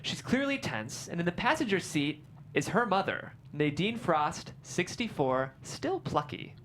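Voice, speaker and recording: A woman's voice: on the low side, big pitch swings, a little slow, a little hiss or hum. A woman narrating stage directions from a script at a table read.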